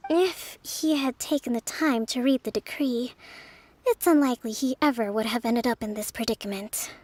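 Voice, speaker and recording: Woman as a high-voiced, composed young strategist. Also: a little mellow, dry recording, natural voice. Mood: reassuring. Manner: measured, thoughtful, formal. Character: gentle, composed young female leader and strategist. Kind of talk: video game character voice acting.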